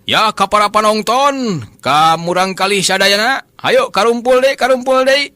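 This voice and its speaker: A man's voice: high-pitched, a little slow, dry recording, pitched above the performer's own voice. A man voicing a brash monkey-show owner in a comic folk tale, calling out to a crowd with a Sundanese accent. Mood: hawking. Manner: loud hawking announcements, coaxing. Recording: noisy, hissy recording.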